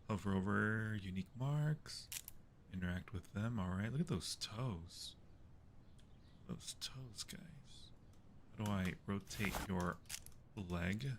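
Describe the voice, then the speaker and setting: A man casually commenting on a game for his stream viewers, playfully muttering to himself and reading prompts aloud. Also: lowish voice. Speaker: livestream host playing a horror game. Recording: clearly noisy background.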